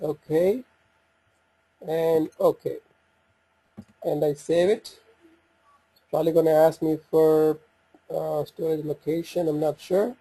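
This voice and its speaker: Flat, husky male software demo presenter. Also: on the high side, no room sound, low-quality recording. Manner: halting, thinking aloud while working. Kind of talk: informal screen-share software walkthrough.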